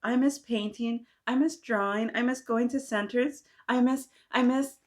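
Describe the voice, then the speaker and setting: Woman voicing an eager little boy, high-pitched. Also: steady tone, a little slow, close to an everyday voice. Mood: sobbing, pleading. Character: sad, eager first-grade boy missing kindergarten.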